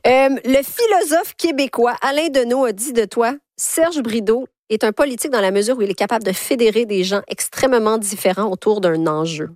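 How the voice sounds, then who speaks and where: Higher-voiced woman interviewer, dry close sound. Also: brisk, few pauses, darkish tone, no room sound. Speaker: interviewer, host. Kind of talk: casual conversational interview.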